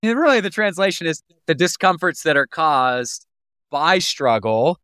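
A man's voice: a little high, sing-song, a little slow, pushed and bright, smooth, no room sound, studio quality. A man hosting an interview podcast, chatty and friendly, with teasing asides. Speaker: podcast host. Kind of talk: casual interview conversation.

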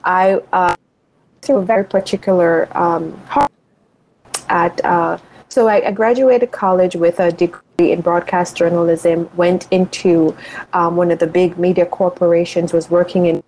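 A woman's voice: on the low side, fairly even intonation, a little slow, a little mellow, slight background noise, rough recording. A woman guest, a life and money coach, talking in a reflective, motivational way and thinking aloud as she goes. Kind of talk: reflective interview talk.

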